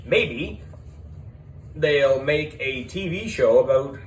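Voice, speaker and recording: A man narrating a children's audiobook in the character of a schoolboy, animated and deliberate, with dramatic pauses. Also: mid-range voice, a little hiss or hum.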